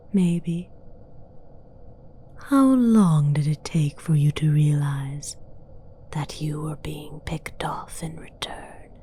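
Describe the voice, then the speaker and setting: A woman's voice: sits low, mellow, a little hiss or hum. A woman playing a menacing, mocking demoness queen in a whispered, condescending ASMR roleplay. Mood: quietly menacing. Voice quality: breathy whisper. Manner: slow, deliberate, condescending.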